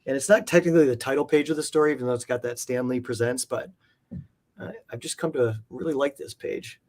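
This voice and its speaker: A man's voice: medium pitch, quick pace, darkish tone. A man talking casually as an interview guest, rambling enthusiastically through details of things he collects. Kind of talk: casual chat.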